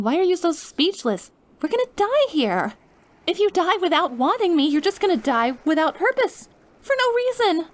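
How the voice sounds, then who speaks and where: A woman playing an obsessive, lovesick, dying young witch in an intimate ASMR roleplay, with a clingy, pleading manner. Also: very high-pitched. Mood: frantic, desperate.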